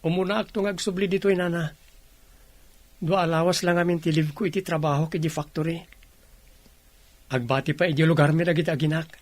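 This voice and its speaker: Actor as a high-voiced Filipino village husband. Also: male voice, fairly even intonation, brisk, long pauses, dry recording, natural voice. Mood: polite. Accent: Ilocano Filipino. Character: village working husband, defensive yet familiar. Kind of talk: Ilocano radio drama acting.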